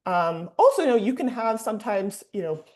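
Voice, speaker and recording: A woman's voice: medium pitch, very animated, fairly quick, darkish tone. A woman clinician talking through a case over a video call, thinking aloud with fillers. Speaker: clinician discussant. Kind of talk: teaching discussion.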